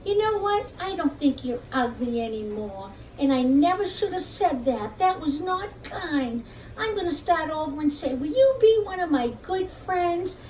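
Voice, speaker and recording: A woman voicing a proud but softening young princess in a children's puppet show, with frank, childlike phrasing. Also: high voice, lively intonation, unhurried, echoey room. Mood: sorry, kind. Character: proud young princess who learns to be kind. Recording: distant mic with faint background noise.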